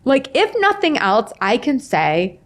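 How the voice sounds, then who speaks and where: A middle-aged woman telling stories casually as a podcast guest, chatty and expressive, often voicing remembered dialogue. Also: a little high, unhurried. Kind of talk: casual interview chat with animated storytelling.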